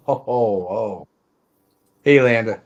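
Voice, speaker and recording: A man's voice: fairly low pitch, slow, dull audio. A man hosting a discussion show in casual, informal chat with loose phrasing. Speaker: host of a movie discussion show.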